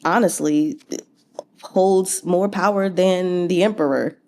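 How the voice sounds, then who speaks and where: Woman, soft mellow tone, casual book vlogger, conversational, expressive, incredulous asides. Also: on the low side, slow pace. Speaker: book read-along vlogger. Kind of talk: casual book recap commentary.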